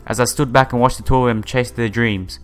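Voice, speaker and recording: A man's voice: mid-range voice, fairly even intonation, a little fast. A man hosting a casual let's-play, reading game text aloud and adding informal asides. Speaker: gaming video host. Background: soft game music.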